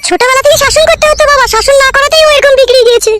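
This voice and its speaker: Shrill, very high scolding cartoon wife. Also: female voice, rapid-fire, very noisy. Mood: indignant. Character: shrill, foul-mouthed, scolding young wife, cartoon character. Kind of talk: cartoon comedy dialogue.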